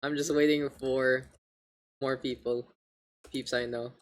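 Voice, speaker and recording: A man with a gravelly voice hosting an esports livestream, mixing casual slangy chat and game commentary. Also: medium pitch, level tone, takes it slowly. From Philippines. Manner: informal, gamer slang, joking asides. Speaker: esports caster, streamer hosting the match. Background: video game sounds and announcer.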